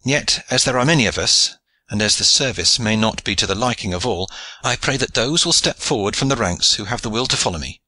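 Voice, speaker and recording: A man voicing an earnest, eager old knight who exclaims in sudden high outbursts. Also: lively intonation, a little fast, sharp tone, dry recording, heavily acted. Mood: rousing.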